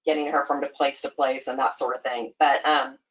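A woman's voice: rumbling low, little pitch movement, fairly quick, muffled recording. A woman guest on a podcast, chatty and easygoing, using lots of fillers and laughing easily. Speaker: guest, skating association staff. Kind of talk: casual podcast interview.

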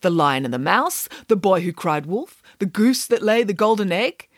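A woman's voice: medium pitch, big pitch swings, normal speed, no room sound, studio quality, pitched above the performer's own voice. A woman voicing a glamorous, boastful pig nanny in an audiobook, grandly and emphatically explaining nonsense as fact. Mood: indignant, haughty. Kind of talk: audiobook character voice.

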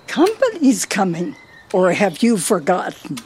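A woman's voice: medium pitch, wildly swooping pitch, measured pace, darkish tone, breathy edge, exaggerated voice. A woman playing a feisty elderly wife in a radio play, teasing and needling her husband theatrically. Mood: playful, defiant.

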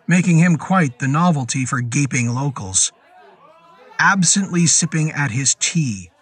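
A man narrating a scripted horror story in a deliberate, literary storytelling manner. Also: mid-range voice, fairly bright tone, husky. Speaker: narrator and performer.

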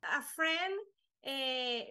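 A young woman whose first language is Spanish talks in slow, halting English with many fillers. Her voice sits high and sounds bright and forward. She comes across earnest as an interview guest. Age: young adult. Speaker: guest, life insurance agent. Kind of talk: casual interview chat.

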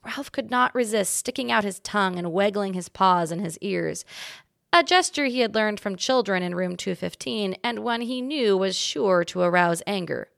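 A woman reading a children's chapter book aloud as a guest reader, with expressive storybook narration. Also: mid-range voice, moderate pace, creaky, dry recording, studio quality.

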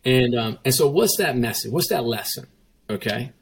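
A man with a gravelly, husky voice tells his story in a relaxed interview. He leans hard on repetition and acts out the lines of the people in it. His pitch sits in a middle range. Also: normal speed. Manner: emphatic, repetitive, quotes himself and others. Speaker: former CEO, guest.

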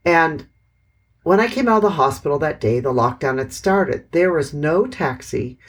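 Gravelly, husky podcast host. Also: medium pitch, animated, normal speed. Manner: reflective and rambling, with many "sort of" hedges and self-corrections. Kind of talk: casual solo podcast monologue. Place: living overseas in Vietnam.

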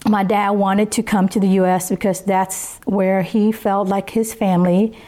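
A woman's voice: mid-range voice, level tone, warm tone. A woman in her fifties, born in Vietnam with Vietnamese as her first language, speaking in a Southern US accent, earnestly recalling her life in an interview. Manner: earnest, unhurried, with pauses. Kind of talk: reflective personal testimony.

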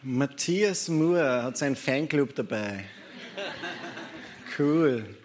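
A man's voice: medium pitch, expressive, very slow, slight background noise. A man, a pastor leading a baptism service and joking with the people he baptizes. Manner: joking, upbeat between hushed baptism formulas. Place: church baptism.

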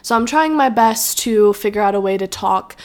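Young woman rambling on her podcast, kinda nasal from allergies, chatty and reflective, mid pitch. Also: moderate pace. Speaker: podcast host. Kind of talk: casual solo podcast chat.

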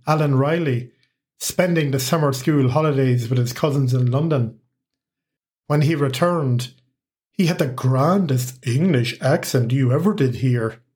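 A man's voice: medium pitch, darkish tone. A man in his forties with an Irish accent, narrating a scripted first-person story as podcast host with a wry, humorous touch.

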